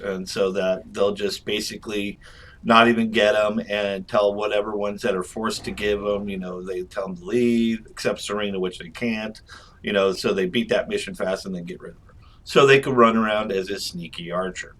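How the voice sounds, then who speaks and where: A man's voice: fairly low pitch, fairly even intonation, normal speed, fairly bright tone. A man giving casual, jokey, rambling video game review commentary.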